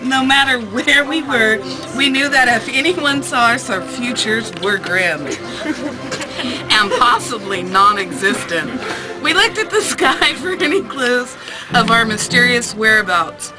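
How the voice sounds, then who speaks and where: A woman's voice: a little high, animated, takes it slowly, a crowd in the background, rough recording. Woman reading an essay aloud over music. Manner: amused, often breaking into laughter. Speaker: teacher reading a student essay aloud to class. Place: school classroom.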